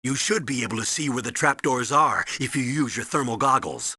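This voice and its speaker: An actor playing a stern older military commander, giving authoritative, urgent briefings in a video game with a husky voice. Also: male voice, mid-range voice, lively intonation, brisk, bright, forceful tone, no room sound, heavily acted. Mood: cautioning. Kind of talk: video game voice acting, tutorial instructions.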